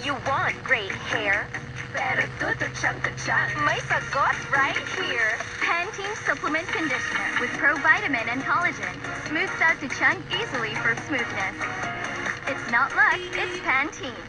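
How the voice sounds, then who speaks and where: A woman's voice: high voice, lively intonation, measured pace, low-quality recording. A woman announcer reading a scripted advertisement, picked up on a radio. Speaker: commercial announcer. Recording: radio reception, loud music underneath.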